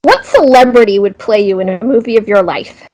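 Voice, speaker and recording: A woman's voice: a little high, expressive, brisk, darkish tone, rough recording. A woman interviewer putting questions to a guest in a casual, conversational style. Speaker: interviewer, host.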